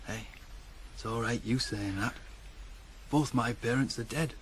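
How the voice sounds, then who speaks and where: A young farm lad acted by a man in a 1980s radio drama. He talks bluntly, with a teasing, fatherly streak, at a middling pitch over faint background noise. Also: unhurried, close to an everyday voice. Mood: quiet, fatherly. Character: nineteen-year-old farm lad, male, teasing, blunt but fatherly.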